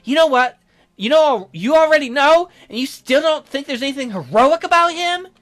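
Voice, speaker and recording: A man voicing an excitable, touchy young nerdy woman for a visual novel, in an indignant, emphatic manner. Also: very high-pitched, soft background music, voice pushed up. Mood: exasperated. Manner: indignant, emphatic, fast-swinging.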